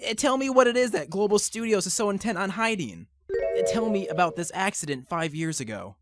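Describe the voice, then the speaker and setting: Lively, earnest young lawyer guy, acted by a man. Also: sits high, fairly quick, over loud music. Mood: unsure. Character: earnest, slightly unsure young male defence lawyer. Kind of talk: amateur fan-dub game acting.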